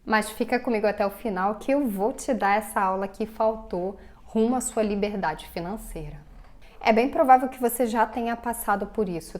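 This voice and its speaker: A woman's voice: on the high side, darkish tone. A woman with a Brazilian Portuguese accent giving a direct-to-camera personal finance lesson, talking straight to the viewer and acting out the viewer's doubts. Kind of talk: direct-to-camera scripted explainer.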